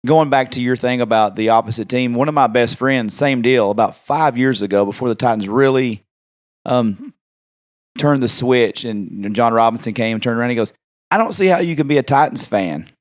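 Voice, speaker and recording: A man's voice: mid-range voice, normal speed, dry recording, clean studio sound. A man hosting a podcast, chatting casually, retelling conversations with many ums and you-knows. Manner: conversational, acts out quoted exchanges, frequent fillers. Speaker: podcast host.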